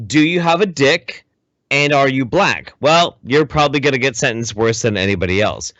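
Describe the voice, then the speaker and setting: A man's voice: mid-range voice, normal speed, a bit bright, no echo, lo-fi sound. A man co-hosting a casual podcast, giving opinionated commentary in an emphatic, profane way, often repeating his phrases. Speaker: podcast co-host.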